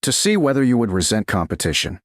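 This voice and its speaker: Man playing a stern, low-voiced medieval duke. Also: fast, dry recording, clean studio sound. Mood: probing. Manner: calm, formal, terse questioning. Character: stern, reserved medieval duke, calm and probing.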